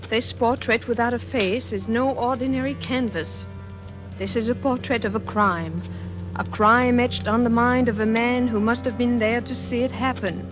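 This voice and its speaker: High-voiced, weary wife, played by a woman, radio melodrama. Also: normal speed, natural voice. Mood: anguished, reluctant. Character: unhappy, cowed wife, weary and guardedly bitter. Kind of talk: 1940s radio suspense acting. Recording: old radio broadcast with prominent music.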